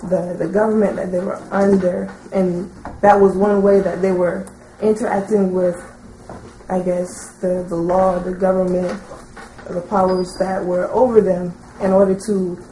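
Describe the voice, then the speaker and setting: A woman in the audience at a teaching session, putting a long, unscripted question in a hesitant, halting way full of filler likes. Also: lowish voice, little pitch movement, dark tone. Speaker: audience member asking a question. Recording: roomy echo, faint background noise.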